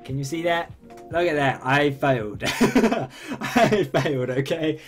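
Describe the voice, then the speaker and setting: Excitable eighteen-year-old guy vlogging. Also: a little slow, soft background music. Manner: excitable, swings up high when enthusing. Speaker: YouTuber answering fan questions. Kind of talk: casual Q&A vlog. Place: bedroom, indoors.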